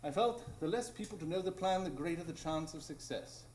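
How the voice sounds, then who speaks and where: A man playing a self-assured, cerebral detective in a live radio drama, explaining in a formal, measured way. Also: on the high side, lively intonation, darkish tone, close to an everyday voice. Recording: echoey stage, distant from microphone.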